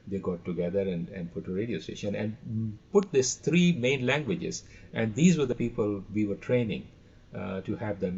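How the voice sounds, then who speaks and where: Man, gravelly, low, halting casual anecdotes, dull lo-fi recording. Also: darkish tone, slight background noise. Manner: halting, repeats words. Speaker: podcast guest, radio trainer.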